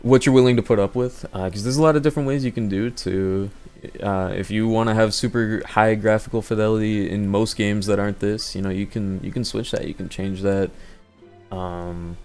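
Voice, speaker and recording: A man's voice: lowish voice, fairly even intonation, normal speed, darkish tone, clean tone, faint music behind. A man giving casual, off-the-cuff commentary on video games, rambling and hesitant as he thinks aloud. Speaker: video game showcase host.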